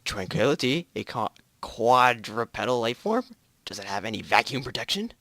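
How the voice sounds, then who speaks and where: A man playing a brisk, anxious mission control communicator, calling out clipped radio phrases and urgent questions in an amateur story reading. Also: medium pitch, very animated, bright, forceful tone, no room sound.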